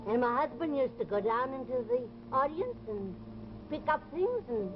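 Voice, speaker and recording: A woman's voice: high voice, big pitch swings, moderate pace. An older woman playing a folksy, gossipy landlady and former stage fortune teller in a television drama, with theatrical exclamations. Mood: chatty, nostalgic. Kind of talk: 1950s television drama acting. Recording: dull, noisy old TV soundtrack.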